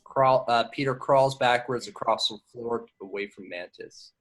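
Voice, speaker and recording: A man with a medium-pitched voice narrates screenplay action. It is an amateur read-through, with stage directions read aloud from a script. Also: normal speed, a bit bright.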